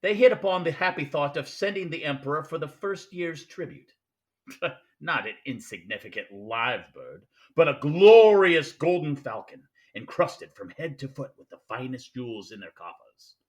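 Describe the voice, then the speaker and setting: An actor playing a jovial, scheming older crime boss in a 1940s style radio play, with grandiloquent, over-polite manners. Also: male voice, on the high side, big pitch swings, moderate pace. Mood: relishing, grandiose.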